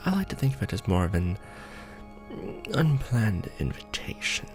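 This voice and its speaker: A man playing a courtly, doting vampire lord in an ASMR romance roleplay, speaking formally and deliberately with tender pauses. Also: fairly low pitch, sing-song, over music. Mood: wry, teasing.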